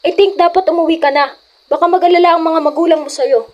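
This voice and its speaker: A young-sounding woman acting a stern, high-pitched mother. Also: fairly even intonation, fast, darkish tone, dull audio. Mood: calm, relenting. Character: stern, scolding mother of a teenage daughter. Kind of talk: amateur radio drama acting.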